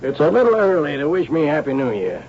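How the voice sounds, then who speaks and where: An actor playing a shrewd, persistent police inspector, dry, courteous and probing, in a radio drama. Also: male voice, fairly high pitch, very animated, quick pace. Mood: businesslike. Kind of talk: radio drama acting and narration. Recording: dull, noisy old radio recording.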